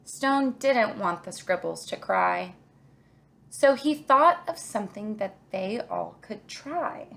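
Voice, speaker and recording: A woman reading a children's picture book aloud in an expressive, deliberate storytelling way, like a kindergarten teacher. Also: medium pitch, vocal fry.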